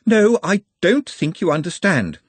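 An exasperated scholar in a comic storybook. He is fussy, pedantic and peevish, in a pitch far above the performer's own. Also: very animated, unhurried, breathy edge, dry recording, full character voice. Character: exasperated, fussy academic man.

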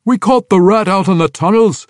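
A man's voice: sits high, normal speed, dry recording, studio quality, voice pushed up, natural voice. A man voicing a grizzled old dwarf veteran. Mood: accusing.

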